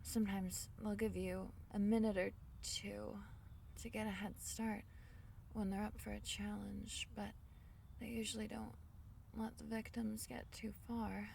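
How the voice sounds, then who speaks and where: Woman doing a shy little forest fairy for asmr roleplay, soft gentle mellow voice, a bit distant w/ some background noise. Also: medium pitch, little pitch movement, measured pace, creaky. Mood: grave. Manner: soft-spoken, gentle, hesitant, breaking into whispers. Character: small, shy, kind female forest fairy healer. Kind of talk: intimate ASMR fantasy roleplay.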